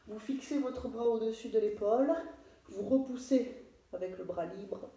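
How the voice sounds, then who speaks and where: A French fitness coach talking through a home workout, with many hesitations and fillers. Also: female voice, fairly high pitch, moderate pace, warm tone. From France. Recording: echoey room, voice far from mic.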